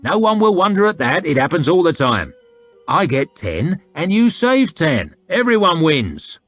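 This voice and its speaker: A man voicing a coarse, bullying soldier who haggles loudly over a price. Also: big pitch swings, unhurried, no room sound, heavily acted. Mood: haggling. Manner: loud, high and bullying. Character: coarse loud male slave-driving soldier, crude and haggling.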